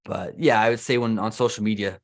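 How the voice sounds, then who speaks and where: A young man with a Canadian accent chats his way through an interview as host. His voice sits a little low. He talks loosely, restarting sentences and leaning on "like". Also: steady tone, fairly quick. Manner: chatty, loose, heavy use of "like" and restarts. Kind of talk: casual interview chat.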